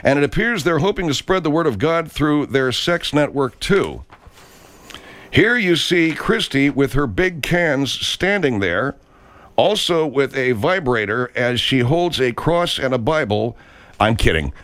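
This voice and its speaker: A man's voice: fairly high pitch, fairly bright tone, no room sound. A man hosting a morning radio show, mocking and crude with jokes. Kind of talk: irreverent morning radio banter.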